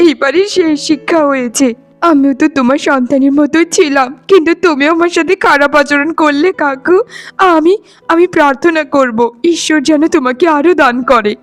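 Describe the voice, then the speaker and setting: A woman's voice: high voice, fairly quick, natural voice. A woman voicing a poor, earnest, dutiful young daughter in a cartoon, speaking with a pleading manner. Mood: hurt, reproachful. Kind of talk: cartoon voice acting. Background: faint music.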